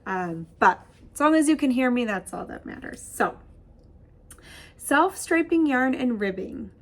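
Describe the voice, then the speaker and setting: A woman in her thirties hosting a casual knitting podcast from her home craft studio, chatty and bubbly, with a husky voice. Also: on the high side, expressive, a little slow, fairly bright tone. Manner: bubbly, chatty, laughs often, gestures while talking. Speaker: host. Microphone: webcam framing at desk.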